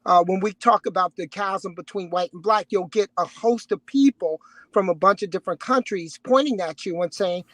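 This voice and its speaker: Guy with a high voice, debating, keeps restarting sentences, dry close sound. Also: a bit bright, no room sound. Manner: halting restarts, argues through analogies. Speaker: panel guest. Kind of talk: heated panel debate.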